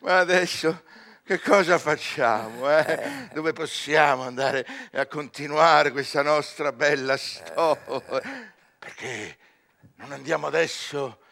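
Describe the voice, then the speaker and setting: Playful schemer, creaky voice, slow coaxing delivery, theatrical radio drama. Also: male voice, a little high, bright, forceful tone, heavily acted. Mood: mischievous, coaxing. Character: playful, scheming adventurer proposing mischievous journeys.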